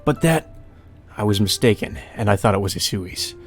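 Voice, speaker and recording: A man's voice: fairly low pitch, animated, normal speed. Guy doing upset young vn protagonist, accusing and urgent, cant believe it, faint music behind. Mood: desperate. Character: anguished, accusing young man, visual novel protagonist. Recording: music under the voice.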